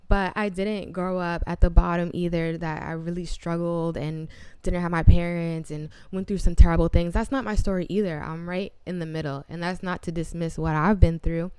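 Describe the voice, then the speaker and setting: 20s woman doing her solo podcast, chatty n upbeat, slangy, lowish smooth voice. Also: mellow, no room sound. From half Puerto Rican. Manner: chatty and upbeat, laughs at herself, casual slang. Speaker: podcast host.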